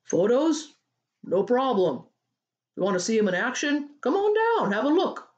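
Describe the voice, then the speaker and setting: A woman's voice: a little high, very animated, measured pace, a little mellow, highs cut off, voice pushed up. A woman voicing a brash, hot-tempered, opportunistic male boss in a storybook. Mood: smug, glib. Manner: brash, glib.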